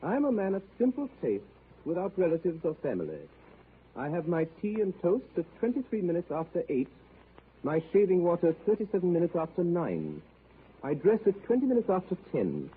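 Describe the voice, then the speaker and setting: An actor playing a precise, cold gentleman of fixed habits, speaking in a crisp, measured way in a 1930s radio play. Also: high-pitched, big pitch swings. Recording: tinny lo-fi 1930s radio broadcast, faint hiss.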